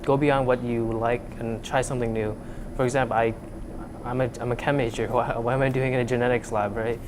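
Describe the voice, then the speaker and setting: A man's voice: medium pitch, little pitch movement, a little fast, background noise. Young man from Vietnam in a humming lab. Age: young adult. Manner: many fillers and restarts, earnest. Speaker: student researcher. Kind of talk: casual interview. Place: lab room.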